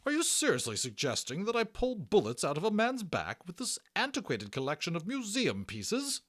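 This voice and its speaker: A man voicing a brisk, indignant nurse matron in a children's storybook, shrill, clipped and no-nonsense. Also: huge pitch swings, a bit bright, heavily acted. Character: brisk bossy British nurse matron, indignant older woman.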